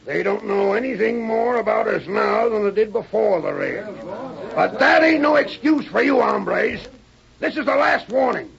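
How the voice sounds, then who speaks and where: Gravelly, high-pitched outlaw boss. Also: male voice, normal speed, crowd noise. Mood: angry, threatening. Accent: American. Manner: barking, threatening, rough cowboy speech. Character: domineering, angry outlaw gang boss of the Old West. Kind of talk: old-time radio western acting. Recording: dull, lo-fi old radio recording.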